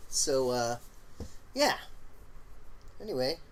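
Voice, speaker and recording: A man hosting a casual live stream, rambling along in a halting way with lots of fillers and the odd throat clear. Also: medium pitch, poor audio. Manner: relaxed, opinionated talk about smoking.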